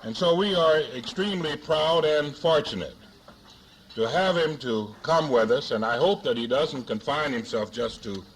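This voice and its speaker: A man hosting a forum, introducing a speaker in a formal but jocular way with deliberate, halting phrasing, on an archival 1970s recording. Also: fairly high pitch, a little hiss or hum, poor audio. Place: live luncheon forum before an audience.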